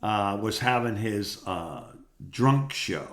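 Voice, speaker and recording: A man's voice: lowish voice, lively intonation, slow. A middle-aged man chatting casually as a co-host, reminiscing in a rambling storytelling way. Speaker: podcast co-host, former radio host.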